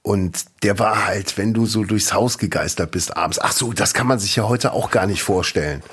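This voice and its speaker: A man in his forties co-hosting a casual podcast chat, telling stories in a lively way and laughing often. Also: lowish voice, moderate pace, breathy edge. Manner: laughs a lot, lively storytelling. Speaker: podcast co-host.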